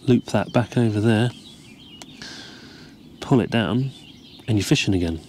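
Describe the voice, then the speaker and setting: A man, calmly explaining step by step how to tie a fishing rig, recorded outdoors. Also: lowish voice, unhurried, slight background noise. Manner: relaxed, explains each step while tying. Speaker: carp fishing angler demonstrating a rig. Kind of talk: casual step-by-step tutorial. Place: outdoors by the water, seated over a tackle box.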